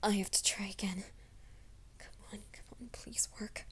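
A woman's voice: medium pitch, fairly even intonation, normal speed, husky. Woman voicing a whispering young werewolf man. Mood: tense whisper. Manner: mostly hushed, urgent whispering to himself. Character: young male werewolf soldier, anxious and secretive. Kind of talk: ASMR roleplay audio.

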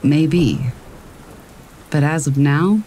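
A woman calmly reading true stories aloud as a narrator. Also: deep voice, very slow. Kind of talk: calm scripted narration. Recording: faint rain noise behind. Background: rain.

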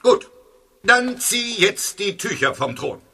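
An actor playing a bossy, scheming court magician in a children's radio play, with a raspy, commanding voice. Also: male voice, high voice, sing-song, takes it slowly, sharp tone, faint music behind, heavily acted. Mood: impatient, commanding. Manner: curt, commanding.

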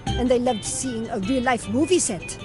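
Woman as a high, confident cartoon lady rabbit. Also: normal speed, lightly acted. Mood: cheerful. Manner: confident, cheery swagger. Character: confident, brisk adult female rabbit, cheerfully heroic. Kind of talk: children's cartoon game voice acting. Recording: music behind the voice.

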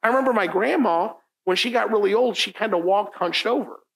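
A man's voice: sits high, brisk, vocal fry, fairly bright tone. A middle-aged man whose first language is Italian, preaching a conversational sermon at a lectern in a church room. He talks informally, often asks "right?", and repeats key lines for emphasis. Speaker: pastor. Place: church meeting room, indoors, standing at a lectern.

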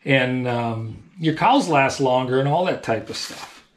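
A man's voice: medium pitch, animated, measured pace. A man in his thirties, a dairy farmer, explaining things in a casual, plain-spoken, folksy way in a small indoor work room. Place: small tiled milk room with steel tank, indoors.

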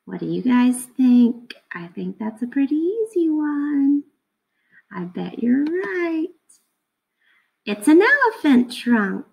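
A woman's voice: sits high, sing-song, takes it slowly, creaky, muffled recording. A woman in her thirties talking casually to children as their teacher, explaining simply and putting questions to them. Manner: explains simply, asking questions of young listeners. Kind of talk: casual read-aloud lesson for children. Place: indoors at a computer, webcam screen recording.

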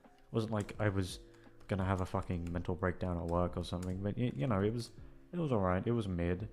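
A man's voice: low voice, brisk, a little mellow, faint music behind. A man rambling in casual chat to his listeners, hesitant, repetitive, sweary and self-deprecating. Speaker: audio creator addressing listeners.

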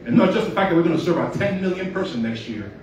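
A man's voice: sits high, lively intonation, fast talker, noisy background. Nigerian man giving an earnest speech in a hall. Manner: storytelling, earnest, rising to emphatic peaks. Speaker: award honoree giving a speech. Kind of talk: inspirational speech. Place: large hall at an awards event, red carpet. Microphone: handheld mic, filmed by a phone from the audience. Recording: echoey hall, far from the phone.

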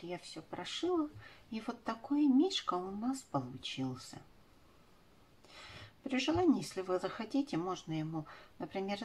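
Husky woman in her 40s explaining crafts to kids, voice swings up and down a lot. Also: medium pitch. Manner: uses affectionate diminutive words. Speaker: craft tutorial presenter. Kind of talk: step-by-step craft instruction for children.

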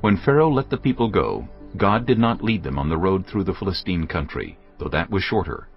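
Deep-voiced male Bible narrator. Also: expressive. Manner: measured, grave storytelling. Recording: dull sound under loud music.

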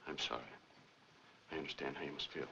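An actor playing a grave, sympathetic doctor in a 1940s film, formal and solemn. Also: male voice, a bass voice, steady tone, quick pace, creaky. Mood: somber. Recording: noisy, muffled 1940s film soundtrack.

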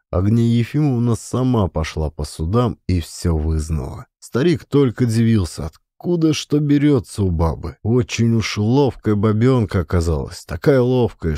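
A man narrating classic fiction as an audiobook, in an expressive, measured storytelling style. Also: lowish voice, dry recording, clean studio sound.

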